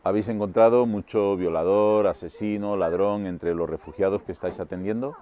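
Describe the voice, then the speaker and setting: A man's voice: lowish voice, steady tone, fairly quick, dark tone. A man working as a TV reporter, introducing a report and asking interviewees short, direct questions. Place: volunteer dining hall at a refugee camp.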